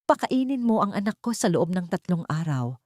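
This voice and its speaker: A woman's voice: on the low side, sing-song, moderate pace, darkish tone, no room sound, studio quality. A woman voicing a cold, stern rich father who speaks in menacing warnings in an audio story. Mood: threatening, low warning.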